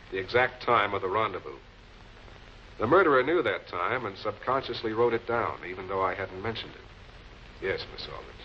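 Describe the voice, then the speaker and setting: Male prosecutor played by a male actor, vintage crime drama. Authoritative, deliberate delivery, dull noisy film audio. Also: mid-range voice, close to an everyday voice. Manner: measured, commanding, deliberate. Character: composed, authoritative male public prosecutor cornering murder suspects. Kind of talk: 1940s television crime drama acting. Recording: muffled, noisy old film soundtrack.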